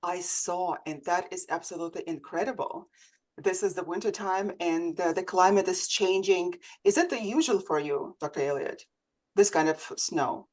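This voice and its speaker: A woman's voice: on the low side, moderate pace, a little mellow. A woman hosting a conversational interview show, speaking over a remote video call. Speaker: host.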